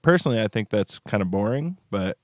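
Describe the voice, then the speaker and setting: A man co-hosting a podcast, talking in a relaxed, wry way and thinking aloud. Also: lowish voice, fairly quick, no echo, studio quality. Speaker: podcast co-host. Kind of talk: casual chat.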